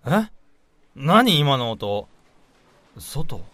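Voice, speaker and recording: A man acting in a radio drama as a blunt, work-shy senior office colleague with rough, complaining, casual speech. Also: medium pitch, very animated, extremely slow, fairly bright tone, no echo, full character voice. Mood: startled, uneasy.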